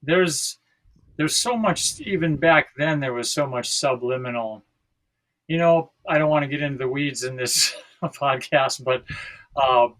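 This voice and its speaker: A man's voice: medium pitch, a bit bright, poor audio. An American man in his sixties from South Dakota, talking casually and reflectively as a podcast guest, often searching for his words. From South Dakota, United States.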